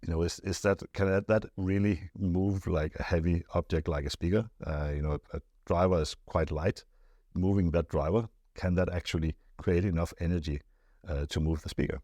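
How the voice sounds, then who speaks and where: A man with a deep, low voice talks through a technical subject like an expert answering questions. His delivery is casual and thoughtful, often pausing and repeating words as he works out the phrasing. The sound is close and dry, with very little room in it. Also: mellow, no room sound.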